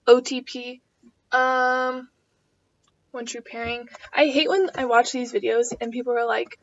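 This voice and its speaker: A woman's voice: on the high side, level tone, measured pace. A woman in her twenties chatting casually as a vlogger, answering tag questions in a rambling way full of fillers. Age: 20s. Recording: dull lo-fi home video audio.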